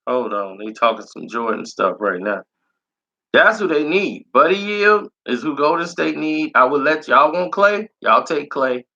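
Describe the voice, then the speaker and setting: A man with a slightly hoarse, raspy voice talks sports in loose slang, sharp and bright in tone with a gravelly edge. The recording sounds dull and lo-fi. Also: fairly high pitch, big pitch swings, measured pace. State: recovering from illness, slightly hoarse. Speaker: sports commentary vlogger. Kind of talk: casual opinion chat.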